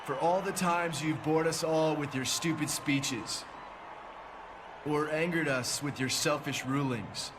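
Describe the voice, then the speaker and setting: A man's voice: fairly high pitch, measured pace, natural voice. A man playing a defiant, taunting wrestler giving a promo in a video game. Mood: resentful, mocking. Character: defiant, taunting young male wrestler bullying his boss. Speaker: wrestler addressing arena crowd. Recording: very noisy, roaring crowd behind. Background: loud arena crowd.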